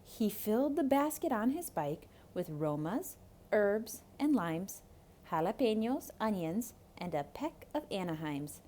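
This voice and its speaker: A woman narrating a children's story as a theatrical read-aloud, dramatic and expressive. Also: medium pitch, measured pace, slight background noise.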